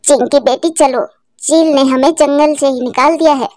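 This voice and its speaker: A woman's voice: high-pitched, fairly even intonation, normal speed, a little mellow, no echo, clean studio sound. A woman voicing an anxious, emotional mother sparrow in a cartoon, devoted and pleading. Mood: sorrowful.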